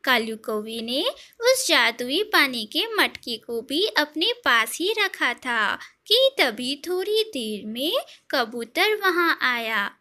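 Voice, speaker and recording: A woman narrates a children's story in a high, bright voice. Her delivery is unhurried and full of swooping intonation. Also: big pitch swings.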